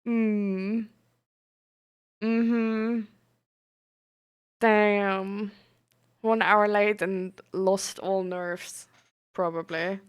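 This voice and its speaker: A young woman chatting casually on a live stream, full of fillers and quick reactions to chat. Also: mid-range voice, flat delivery, clean tone. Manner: chatty, filler-heavy, reacts aloud to chat with surprise and laughter. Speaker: streamer and peer support coach.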